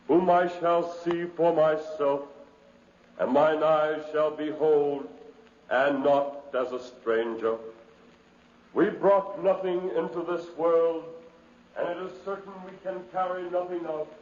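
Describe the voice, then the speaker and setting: Echo and muffled vintage radio sound surround a solemn reader of funeral rites. He intones gravely and very slowly. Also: a little high, fairly even intonation, darkish tone. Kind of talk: liturgical reading in 1950s radio drama. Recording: muffled, echoing 1950s radio recording.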